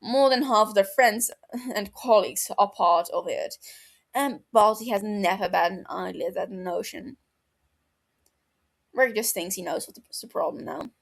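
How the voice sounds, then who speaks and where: Creaky-voiced woman narrating fiction. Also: mid-range voice, moderate pace. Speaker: amateur audiobook narrator.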